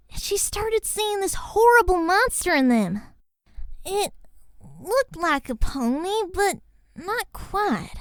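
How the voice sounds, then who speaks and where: Very high-voiced little filly telling spooky tales. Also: female voice, fairly bright tone, no echo, clean studio sound, heavily acted. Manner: slow, theatrical. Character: young filly pony girl telling spooky stories. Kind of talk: dramatic ghost-story telling.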